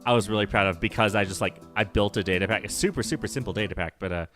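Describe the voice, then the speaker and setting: A man with a low voice talks loosely through a game livestream. He rambles, stops to correct himself, and laughs easily. Soft music plays faintly behind him. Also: rapid-fire. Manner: rambling, self-correcting, nerdy, laughs often. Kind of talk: casual livestream chat while playing.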